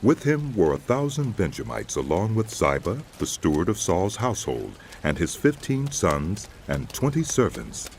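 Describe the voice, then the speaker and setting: A man narrating a dramatized Bible as a scripted, measured storyteller. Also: low voice, very animated, vocal fry, darkish tone, slight background noise.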